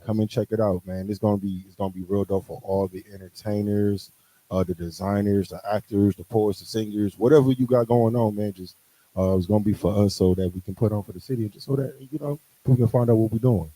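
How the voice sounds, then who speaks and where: A man's voice: lowish voice, steady tone, fast, mellow, no echo, rough recording. A poet and podcast guest, a man who talks in a laid-back, drawling way. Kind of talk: casual chat.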